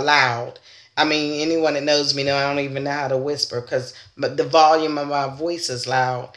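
A woman hosting her own podcast, talking in a loud, chatty way while trying to keep her voice down. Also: low-pitched, reverberant. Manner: loud, chatty, trying to speak more softly. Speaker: podcast host. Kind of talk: casual podcast talk.

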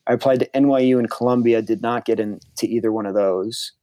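A man's voice: mid-range voice, fairly even intonation, fast, dark tone, no echo. A young man from Connecticut, a filmmaker being interviewed, talking casually and enthusiastically with frequent restarts. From Connecticut, USA. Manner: rambling, restarts often, enthusiastic. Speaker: filmmaker guest.

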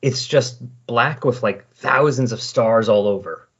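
A man with a slightly husky voice in casual, rambling, enthusiastic conversation as a podcast host. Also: medium pitch, measured pace, a bit bright. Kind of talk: casual chat about comic books. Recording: dull, lo-fi recording.

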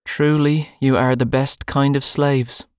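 A man's voice: medium pitch, little pitch movement, a little slow, smooth, no echo, clean studio sound, voice pushed up, natural voice. A man voicing a stern, cold young pirate captain who speaks in clipped commands. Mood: calm, mocking.

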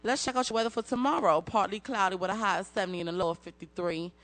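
A man's voice: high voice, fast, a bit bright. Young man reading headlines. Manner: reads headlines, adds brief personal comments. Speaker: student news reader. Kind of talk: reading news and weather.

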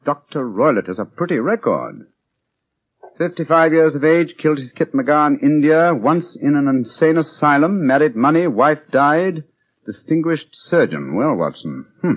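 An actor playing a brisk, self-assured British gentleman detective with clipped, eloquent delivery in a 1940s radio drama. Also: on the high side, expressive, husky. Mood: brisk, musing. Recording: muffled old radio recording.

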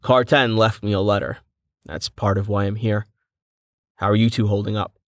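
A narrator voicing Rain, a polite and concerned young adventurer, close to the narrator's own voice. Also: male voice, lowish voice, steady tone, normal speed, dry recording, close to an everyday voice.

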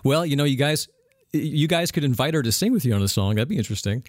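A man's voice: medium pitch, lively intonation, fast talker, dry recording. Mid-voiced male host, dry and close on mic, friendly with small stumbles. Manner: friendly, stammering, laughs along. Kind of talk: casual interview talk.

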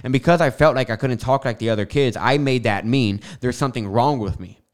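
A young man talks into a podcast microphone with a clear lisp on his S sounds. His voice is smooth and resonant, sitting at an ordinary middle pitch. He rambles casually, with plenty of fillers and side tangents. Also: a little fast. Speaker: podcast host. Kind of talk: casual spiritual monologue. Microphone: studio microphone.

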